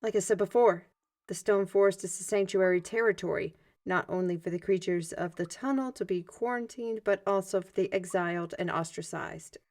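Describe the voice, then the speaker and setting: A woman playing a young, learned squire in an audio roleplay, explaining things in an animated, chatty manner. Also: medium pitch, normal speed, close to an everyday voice. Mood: explanatory.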